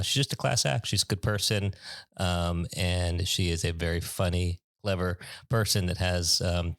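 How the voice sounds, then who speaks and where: A middle-aged man hosting a podcast, talking casually with a halting, hesitant delivery. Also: low-pitched, no room sound, studio quality. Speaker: podcast host. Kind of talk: casual interview and introduction.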